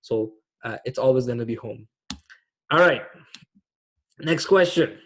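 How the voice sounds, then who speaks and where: A man's voice: medium pitch, animated, unhurried, fairly bright tone. A man from Pakistan in his thirties, a teacher and imam, running a casual online class for teens with a quiz. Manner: casual and friendly, many fillers. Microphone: video call.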